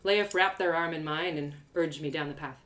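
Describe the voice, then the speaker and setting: A woman reading a first-person fantasy story aloud in an expressive, theatrical and lyrical way. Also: low voice, normal speed.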